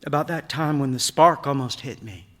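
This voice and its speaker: Man voicing grieving young son, storybook reading, moody, emphatic, exasperated, lifted pitch, reverberant hall. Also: moderate pace, natural voice. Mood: earnest. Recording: long hall reverb of a stage.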